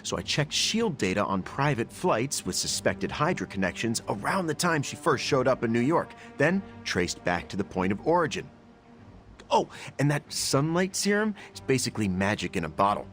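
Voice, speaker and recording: A man's voice: medium pitch, very animated, moderate pace, fairly bright tone, faint music behind. A man voicing a brainy, casually confident journalist superhero in a video game, explaining things in a chatty, wry way. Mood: eager, wry. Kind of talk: video game cutscene acting.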